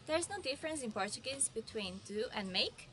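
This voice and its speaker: A young woman from Brazil presents a verb lesson with a high voice. She explains each word in a friendly, clear way. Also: lively intonation, normal speed, bright, forceful tone. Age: 20s. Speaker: language teacher.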